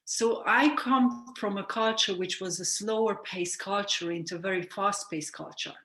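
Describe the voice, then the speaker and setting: A woman's voice: medium pitch, moderate pace, fairly bright tone. Woman, sleep coach guest, earnest and reflective, echoey room. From Hungary. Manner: earnest, reflective, self-correcting. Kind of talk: explanatory interview talk. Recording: roomy echo.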